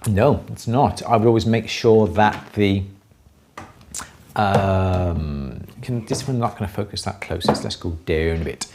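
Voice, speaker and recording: A man's voice: lowish voice, a little slow, darkish tone, slight background noise. A middle-aged man with a Welsh accent, explaining hands-on craft work casually to a live audience and repeating words for emphasis.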